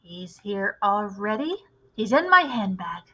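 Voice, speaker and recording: A woman voicing a determined elderly grandmother in a storybook, tactful and measured but firm. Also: medium pitch, sing-song, fairly bright tone. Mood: firm, bristling. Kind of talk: storybook read-aloud character voice.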